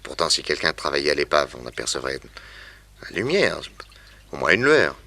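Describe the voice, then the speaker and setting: A man's voice: rumbling low, big pitch swings, moderate pace, vocal fry, sharp tone, no room sound, exaggerated voice. An actor playing a shrewd, confident detective who explains what he observes, in a 1960s French radio serial. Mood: confident, observant.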